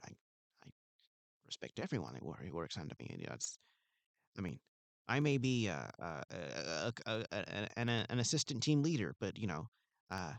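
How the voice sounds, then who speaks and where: A man's voice: lowish voice, gravelly, dry recording. A man playing a needy, pompous security guard. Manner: rambling, stammering, needy, self-important. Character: insecure pompous male mall security guard. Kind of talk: improvised comedy scene.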